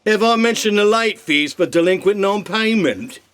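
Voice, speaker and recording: An actor playing a thuggish bloodsucker creature who collects debts, talking in slangy, menacing tough talk in a comic audio drama. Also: male voice, high voice, expressive, moderate pace, a bit bright, clear, resonant tone. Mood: insistent haggling.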